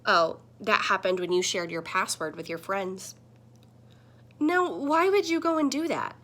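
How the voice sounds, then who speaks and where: A woman's voice: medium pitch, unhurried, a bit bright. A woman voicing a talking computer screen in a children's picture book, a protective mentor who admonishes and instructs emphatically. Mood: exasperated scolding.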